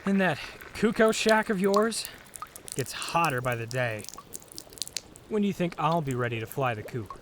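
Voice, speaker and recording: An actor playing a weary, good-natured young hero in training in a fantasy audio drama, easygoing and wry. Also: male voice, on the high side, animated, normal speed, natural voice. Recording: running water noise behind the voice. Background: flowing hot spring water.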